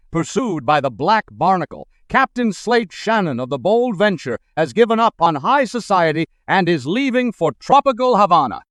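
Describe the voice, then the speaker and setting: Guy doing old time 1940s radio newsman, really lively swoopy pitch, smooth, clipped and theatrical, dry studio. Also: dry recording. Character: 1940s radio newsman reading brisk shipping news. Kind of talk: 1940s radio news acting.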